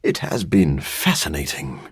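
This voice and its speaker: A man playing a brooding, dryly witty vampire scientist in a video game, husky and raspy, speaking in a formal, sardonic way. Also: rumbling low, big pitch swings, a little slow, no room sound, studio quality, full character voice. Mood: measured, brooding. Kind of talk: video game character voice acting.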